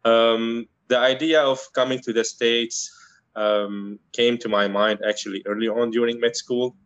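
A man from Syria, a native Arabic speaker, answering interview questions in a measured, thoughtful way with frequent pauses and restarts. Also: medium pitch, steady tone, fairly bright tone, smooth, no room sound, dull audio. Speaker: guest, internal medicine resident.

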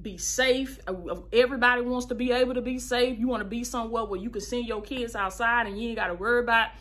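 Animated thirties woman giving advice. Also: a little high, fast talker. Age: 30s. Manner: animated, preachy, repeats phrases for emphasis, calls listeners baby. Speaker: podcast host and life coach. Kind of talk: casual advice monologue.

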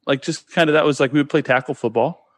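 A man's voice: fairly high pitch, quick pace, no room sound. A man in his forties with a Californian accent, chatting casually and slangily as he tells stories. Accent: Californian American. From California, United States. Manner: slangy, says dude and bro. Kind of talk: casual chat, telling childhood stories.

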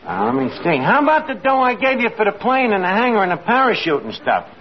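A man's voice: high voice, sing-song, quick pace. A man acting a gullible, working-class New York tavern manager in an old radio comedy. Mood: worried, scheming. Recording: muffled old radio recording, studio audience, hiss.

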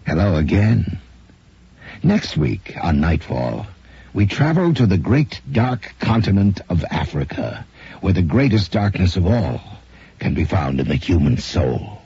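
Very husky, gravelly male horror host. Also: low-pitched, big pitch swings, unhurried, warm tone, no room sound. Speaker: radio drama series host. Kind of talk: ominous scripted narration. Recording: muffled old radio recording.

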